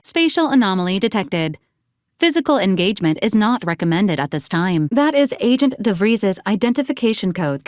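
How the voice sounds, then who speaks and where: Woman doing a female robot helper from a video game, deadpan n formal, clipped lines, mid pitch, dry close sound. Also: no room sound, lightly acted. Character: polite, deadpan female robot assistant unit, dutiful and literal.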